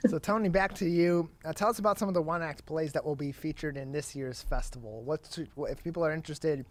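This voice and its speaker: A man's voice: a little high, brisk. A man hosting a news and talk show, interviewing guests. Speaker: TV show host.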